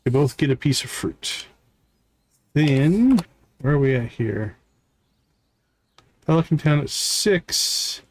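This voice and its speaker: A man's voice: mid-range voice, lively intonation, slow, pauses often, slight background noise. A man giving casual live gameplay commentary as a streamer, thinking aloud to himself in short fragments. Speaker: game streamer.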